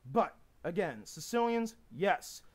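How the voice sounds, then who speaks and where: A man with a New York accent talks emphatically and at length into his camera. His voice sits a little high and swings widely in pitch as he makes his points. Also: sing-song, slow pace, husky. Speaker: vlogger. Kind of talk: casual home video rant.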